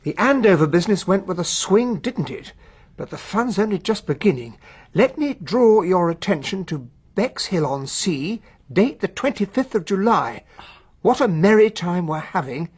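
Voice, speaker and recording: An earnest English gentleman, the devoted companion and narrator in a radio drama. His voice is on the high side and husky, with a well-bred, exclamatory delivery. The sound is dry. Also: very animated, normal speed, no room sound, full character voice. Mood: reading aloud.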